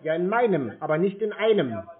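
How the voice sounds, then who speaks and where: Curt high-voiced man on the phone. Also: sing-song, fairly quick, breathy edge. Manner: curt, contrary, teasing. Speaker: scam-baiter taking a sales call. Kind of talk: dry phone sparring. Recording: thin narrow-band phone call sound.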